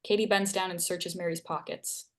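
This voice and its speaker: A woman's voice: fairly low pitch, fairly even intonation, normal speed. A woman reading scene headings and stage directions aloud as narrator at a screenplay table read.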